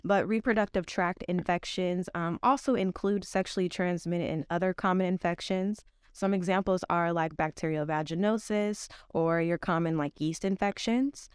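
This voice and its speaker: A woman's voice: fairly low pitch, steady tone, moderate pace, hardly any pauses, smooth, no room sound, clean studio sound. A young woman, a health educator, speaking on a podcast in a thoughtful way, with many fillers. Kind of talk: informational podcast talk.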